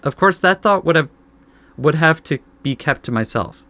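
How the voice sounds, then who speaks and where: A man's voice: on the high side, animated, normal speed, pushed and bright, no echo. Dry-sounding man reading a game aloud casually. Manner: informal, stumbles over the text and corrects himself. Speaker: let's play host. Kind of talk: casual visual novel read-aloud with commentary.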